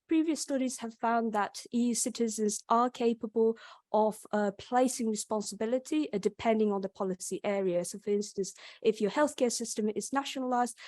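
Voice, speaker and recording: A woman's voice: mid-range voice, a little mellow, no room sound. A young woman with a Yorkshire accent, a PhD student giving an academic conference presentation, with frequent ums and restarts. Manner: hesitant, many ums and restarts.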